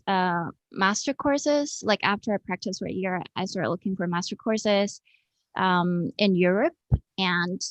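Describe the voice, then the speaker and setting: A woman from Hong Kong with a fairly low voice talks as a guest in an online interview. She is informal and reflective, explaining things in a conversational way. The sound is dry, with very little room in it. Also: dry recording. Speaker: interview guest, architect and researcher. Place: remote online video call.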